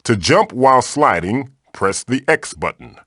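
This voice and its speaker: A man's voice: medium pitch, sing-song, unhurried, bright, forceful tone, no room sound, studio quality, full character voice. A man voicing a magical floating tiki mask in a video game, a fatherly guide who is theatrical, encouraging and wry. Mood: instructive. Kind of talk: video game character voice lines, tutorials and quips.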